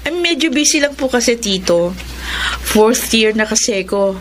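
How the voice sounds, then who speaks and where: A woman's voice: on the high side, expressive, normal speed, a little hiss or hum, close to an everyday voice. An actress playing a chatty college girl and loyal friend in a radio drama, with a playful, colloquial manner. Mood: polite, evasive.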